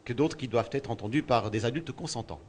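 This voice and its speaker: A man's voice: mid-range voice, fast talker, a little mellow, slight background noise. A man born in Belgium who works as a storyteller, answering interview questions in a thoughtful way and often repeating words while he looks for the next one. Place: outdoors at a festival.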